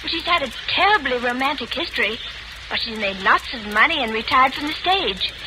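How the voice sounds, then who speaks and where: A woman's voice: sits high, animated, moderate pace. A woman playing an anxious, starstruck young stage actress in an old radio drama. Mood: eager, starstruck. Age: young adult. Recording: noisy old radio recording.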